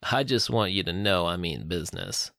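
A man's voice: low voice, big pitch swings, moderate pace, creaky, bright, forceful tone, no echo, clean studio sound, pitched below the performer's own voice, heavily acted. A man acting a menacing folksy Texan gunman. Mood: falsely reassuring. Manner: folksy, falsely reassuring, commanding. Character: smiling, menacing Texan gunman, a self-proclaimed family man.

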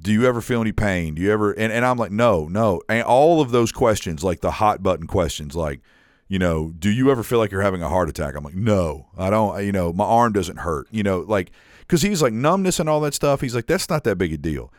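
A man's voice: fairly low pitch, expressive, fairly quick, dry recording, studio quality. A man co-hosting a podcast, telling a long story in casual chat and acting out what others said, swearing now and then. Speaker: podcast co-host.

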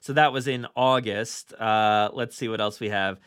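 A man's voice: lowish voice, steady tone, normal speed, fairly bright tone, clear, resonant tone, dry recording, clean studio sound. A man hosting a podcast, chatting casually and enthusiastically, animatedly acting out his own reactions. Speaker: podcast host.

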